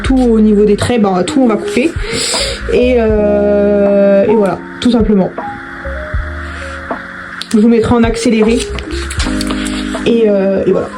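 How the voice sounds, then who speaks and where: A woman's voice: medium pitch, steady tone, a little slow, long pauses. Casual, hesitant woman over music. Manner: informal, hesitant, trailing off and repeating herself. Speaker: video host doing a craft tutorial. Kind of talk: casual chat to viewers while crafting. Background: background music.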